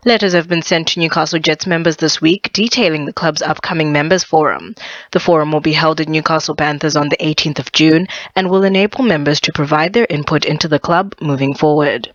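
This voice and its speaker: A woman's voice: sits low, fairly bright tone, dry recording, dull audio. A woman with an Australian accent reading scripted sports news on radio.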